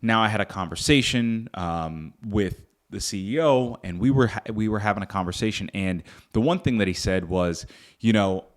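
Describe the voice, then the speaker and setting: Man, young adult, from Northern Virginia, podcast host, earnest, reflective, lowish voice. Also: normal speed. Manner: earnest, reflective, frequent fillers and restarts. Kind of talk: casual solo podcast talk.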